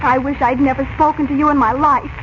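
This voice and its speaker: An actress plays an emotional, headstrong young girl in an old radio serial. The voice is strikingly high and dramatically outspoken. The recording is dull, with clear noise throughout. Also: level tone, quick pace, natural voice. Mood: hurt, angry. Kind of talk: 1940s radio acting.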